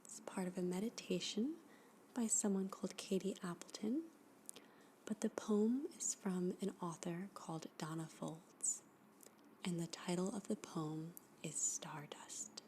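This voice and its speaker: Female ASMR reader: unvoiced whisper throughout, very unhurried, faint low tone, some background noise. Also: steady tone, warm tone, poor audio. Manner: slow, deliberate delivery with long pauses. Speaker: ASMR video host. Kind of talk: whispered ASMR talk and poetry reading.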